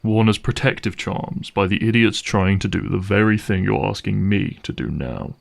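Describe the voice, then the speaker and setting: A man playing a gruff, cynical vampire hunter for hire in a dramatic audio roleplay, speaking in a wry, sardonic and menacing way. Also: on the low side, moderate pace. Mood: guarded, bargaining. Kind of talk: dramatic audio roleplay monologue addressed to listener.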